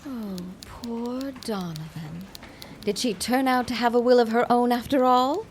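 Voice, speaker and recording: An actress playing a sardonic, suspicious accomplice in a period audio drama, speaking in a deliberate, theatrical manner. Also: female voice, on the high side, slight background noise, close to an everyday voice. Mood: mocking, cool.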